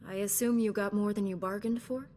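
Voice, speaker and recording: An actress playing a composed, kindly female space knight in a video game, measured and gently dry in manner. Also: medium pitch, fairly even intonation, in a large hall, a little hiss or hum, lightly acted. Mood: tactful, measured. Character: composed, kindly female Jedi, dryly tactful leader. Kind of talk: scripted video game acting.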